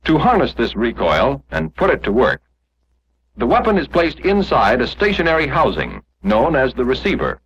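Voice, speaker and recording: An American man narrating a scripted 1940s instructional film in a measured, explanatory manner, on an old film soundtrack. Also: medium pitch, huge pitch swings, a bit bright, husky, lo-fi sound.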